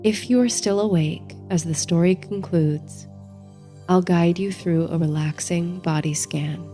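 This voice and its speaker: Female sleep-story narrator: soothing and dreamy, very unhurried, lowish mellow voice, faint music underneath. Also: fairly even intonation. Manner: soothing, measured, dreamy delivery. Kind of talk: bedtime story narration, guided meditation. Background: soft music.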